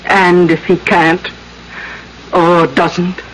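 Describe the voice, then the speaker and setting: A woman's voice: lowish voice, little pitch movement, drawn-out words. An actress in an old-time radio drama playing a protective, weary older woman who speaks with clipped resentment. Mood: resigned, bitter. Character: defiant, protective, weary older spinster cousin. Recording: noisy old radio broadcast.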